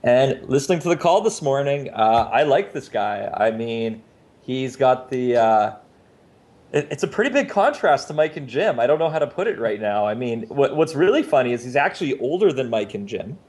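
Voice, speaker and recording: A man's voice: mid-range voice, big pitch swings, moderate pace, a little mellow. A man hosting a video podcast over a video call, talking in a chatty, informal way. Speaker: podcast host. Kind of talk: casual video podcast talk. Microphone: Skype video call.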